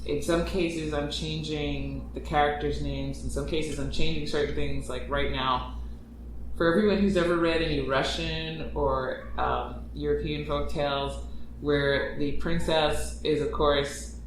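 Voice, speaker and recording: A man in a casual chat about writing stories, who rambles, restarts his thoughts and grows animated when he retells a plot. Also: on the high side, a little slow, a little hiss or hum. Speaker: podcast creator and writer. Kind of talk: casual conversation. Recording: echoey room, distant mic.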